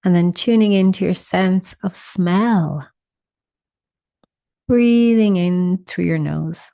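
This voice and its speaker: A woman with a soft-edged, mellow voice sitting a little low in pitch guides a meditation. She gives soothing, simple instructions and leaves deliberate pauses between them. The recording is dry and close. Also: gravelly, dry recording.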